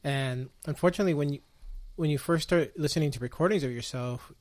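A man's voice: fairly high pitch, fast, darkish tone. A man hosting a podcast in casual chat, explaining things with dry, self-deprecating humor. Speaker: podcast host.

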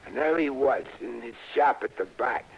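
An actor with an American accent playing a halting, eager, streetwise informant with a husky voice in a 1960s radio drama. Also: male voice, mid-range voice, lively intonation. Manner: hesitant, rambling, colloquial streetwise speech. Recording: muffled old radio broadcast recording.

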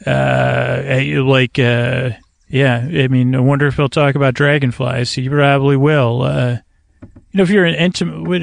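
A man hosting a bedtime podcast in a hesitant, meandering, rambling monologue with stammered repetitions and a creaky voice. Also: mid-range voice, dry recording. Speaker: podcast host.

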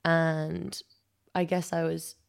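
Smooth-voiced young Englishwoman, rambling. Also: low voice, little pitch movement, slow pace. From England, London-born, raised partly in Kosovo. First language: Albanian. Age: 20s. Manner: rambling, hesitant, heavy on "like" and "you know". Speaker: guest. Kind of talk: casual chat.

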